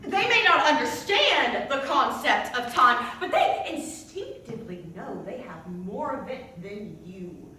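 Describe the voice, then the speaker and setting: A woman performing a comedic theatrical monologue, flustered and halting, often interrupting herself. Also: fairly high pitch, sing-song, sharp tone, a little hiss or hum. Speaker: solo stage performer. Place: indoor performance space before an audience. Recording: echoey stage hall, distant microphone.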